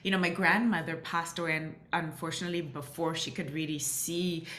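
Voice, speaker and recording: A woman's voice: low-pitched, steady tone, a little fast, bright, forceful tone. Earnest woman with an echoey sound. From Southern Africa. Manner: earnest, with frequent restarts and "you know". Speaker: guest. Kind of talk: reflective interview storytelling. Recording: roomy echo.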